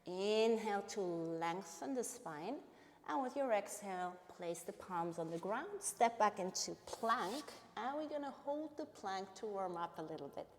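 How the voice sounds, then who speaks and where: A woman from Switzerland with a Swiss accent, a native Bernese Swiss German speaker, leads a live yoga class with calm, step-by-step cues and breath prompts. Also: medium pitch, a little slow. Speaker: yoga instructor. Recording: long reverberant hall-like echo.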